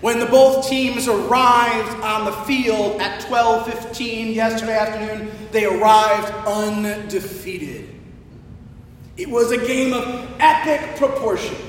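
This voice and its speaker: Guy preacher telling stories, kinda high voice, bit hoarse, big echoey room. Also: slow. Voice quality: slightly hoarse. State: hoarse from shouting the day before. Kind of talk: conversational storytelling sermon. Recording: echoey large hall, distant, faint rumbling noise.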